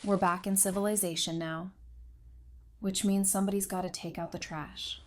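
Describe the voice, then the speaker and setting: A woman's voice: mid-range voice, fairly even intonation, moderate pace, darkish tone, close to an everyday voice. A woman playing a composed, candid psychiatrist in an audio drama, speaking thoughtfully. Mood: friendly, sincere.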